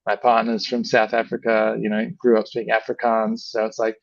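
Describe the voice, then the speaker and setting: An Australian man, a brand founder, chatting casually in an interview, relaxed and rambling with lots of "you know" and "like". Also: on the low side, brisk, gravelly, no echo, lo-fi sound.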